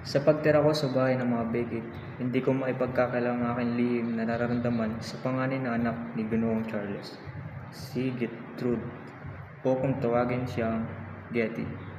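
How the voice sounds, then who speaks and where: An earnest young hero recounts his life in a radio drama acted by a man with a Filipino accent. His delivery is very even, and the sound is noisy and echoes like a big hall. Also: medium pitch, normal speed, dull audio, lightly acted. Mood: earnest, solemn. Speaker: student actor in a radio drama. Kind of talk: scripted first-person narration in a student radio drama.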